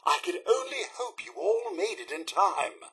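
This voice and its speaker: A man's voice: very high-pitched, huge pitch swings, normal speed, vocal fry, a bit bright, full character voice. A man voicing a prim, devoted, fretful robot butler in a video game, with a British accent and formal, polite, theatrical delivery. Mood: pained, wistful. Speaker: robot servant companion. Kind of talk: video game character dialogue.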